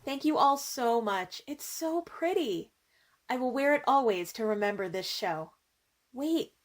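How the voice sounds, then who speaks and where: A woman guest chats in a high voice. The exchange is friendly and scripted, on a home recording with clear noise. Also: expressive, a little slow. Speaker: guest contest-winner performer.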